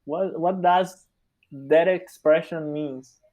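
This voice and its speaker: A Brazilian man, a native Portuguese speaker, speaks English with a Brazilian Portuguese accent as a podcast guest, in an unhurried, reflective way. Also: a little high, fairly even intonation, phone-quality sound. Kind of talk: casual interview chat.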